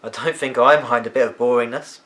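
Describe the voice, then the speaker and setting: A man's voice: mid-range voice, fairly even intonation, brisk, fairly bright tone, close to an everyday voice. A man voicing a plucky, grumbling young schoolboy for a children's storybook reading. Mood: wary.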